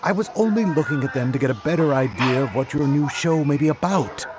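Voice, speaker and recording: A man's voice: fairly high pitch, expressive, fast, over music, natural voice. A man voicing a proud, slightly defensive young porter in a video game.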